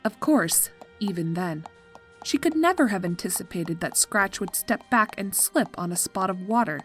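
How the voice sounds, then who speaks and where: A woman narrating scripted fiction in an expressive, measured, drily comic storytelling style. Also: medium pitch. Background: music.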